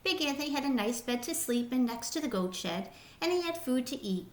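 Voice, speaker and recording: Casting: female storybook reader, lively and expressive, higher-pitched, recorded in a roomy echoey space. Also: a little fast. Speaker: teacher reading a picture book aloud. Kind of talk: expressive children's story narration.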